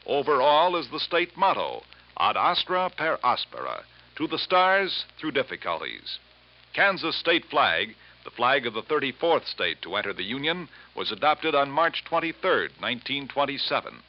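Expressive male vintage radio announcer. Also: medium pitch. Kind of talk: formal scripted narration. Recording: muffled vintage radio recording.

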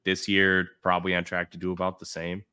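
A man's voice: sits low, completely flat delivery, a little fast, pushed and bright, clear, resonant tone. A man hosting a podcast, talking in a laid-back, loose way with frequent fillers. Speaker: podcast host. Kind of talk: casual chat.

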